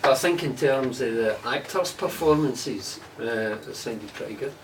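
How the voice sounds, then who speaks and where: A man with a Scottish accent giving informal, measured feedback with some hesitation. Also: mid-range voice. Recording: distant mic, clearly noisy room.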